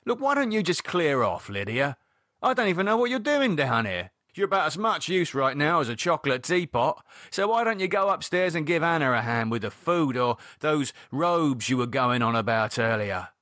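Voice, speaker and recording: A man's voice: on the high side, sing-song, normal speed, fairly bright tone, dry recording, studio quality, voice pushed up. A man voicing an irritated, sarcastic, no-nonsense man with a British accent and a dismissive, colloquial manner, as in an audiobook. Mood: exasperated. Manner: dismissive, mocking, exasperated, colloquial. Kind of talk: audiobook character dialogue.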